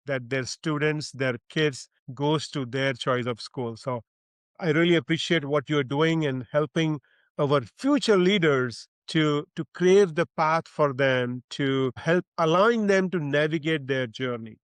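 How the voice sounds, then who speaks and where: Man, podcast host, effusive and formal, slightly high voice, dry close sound. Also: measured pace, no room sound. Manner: effusive and formal, with frequent "uh" fillers. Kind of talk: podcast interview, reading a guest introduction.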